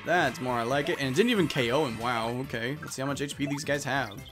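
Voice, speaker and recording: A man's voice: medium pitch, quick pace. Chatty man commentating over game music. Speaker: let's player. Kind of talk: casual gaming commentary. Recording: music behind the voice.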